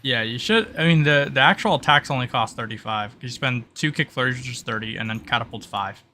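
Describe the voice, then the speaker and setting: A man's voice: mid-range voice, a little fast, sharp tone, a little hiss or hum. A man running a tabletop game as game master, casually talking through rules and turns.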